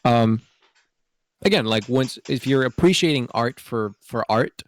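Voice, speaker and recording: A man's voice: mid-range voice, a little slow, dry recording, clean studio sound. Male podcast host, mid-range voice, casual but earnest explainer, very little room sound. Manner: earnest, explanatory, checks in with "right?". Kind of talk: casual opinion discussion.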